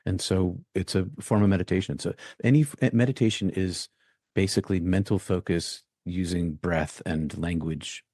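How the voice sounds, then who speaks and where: A man from the United States, a guest in an interview, speaking reflectively and thinking aloud with many pauses and restarts. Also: deep voice, mellow, dry recording, clean studio sound.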